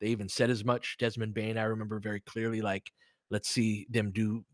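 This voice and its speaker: Low-voiced man giving casual basketball commentary. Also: level tone, fairly quick, no echo, studio quality. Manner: conversational, analytical, thinking aloud in loose asides. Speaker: sports streamer doing audio play-by-play and analysis. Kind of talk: live basketball commentary mixed with casual analysis and chat replies.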